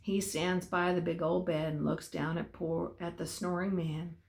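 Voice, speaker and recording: An older woman giving an expressive, dramatic reading of a folksy Christmas story, savouring repeated phrases. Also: fairly low pitch, moderate pace, vocal fry.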